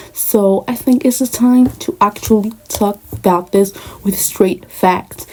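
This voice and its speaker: A woman's voice: mid-range voice, dark tone, poor audio. A young woman from Spain with a Moroccan family background, talking earnestly and casually to camera with many asides. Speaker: vlogger. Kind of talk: personal talk to camera.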